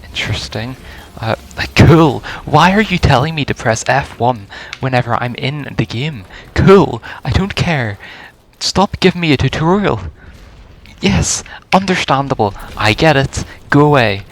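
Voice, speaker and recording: A man's voice: mid-range voice, expressive, measured pace, a little hiss or hum, poor audio. A man giving casual live reaction commentary as a gaming video creator.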